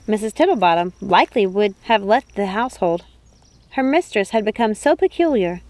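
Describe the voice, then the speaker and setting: A woman's voice: medium pitch, darkish tone. A woman reading scripted storybook narration as an audiobook narrator.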